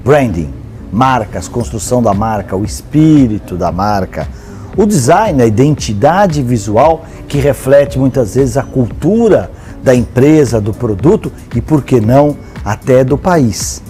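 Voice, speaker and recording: A man's voice: mid-range voice, animated, measured pace, warm tone, faint music behind. A man hosting an interview show, introducing the topic and asking questions in an engaged way. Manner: engaged, with brief backchannel affirmations.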